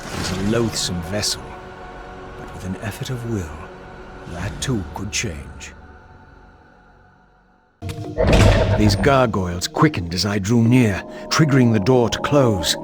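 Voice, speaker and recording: Game role: resolute undead vampire wraith, grave theatrical narration, eloquent and formal, music underneath. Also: male voice, fairly low pitch, lively intonation, a little slow. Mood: brooding. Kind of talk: dramatic scripted game narration.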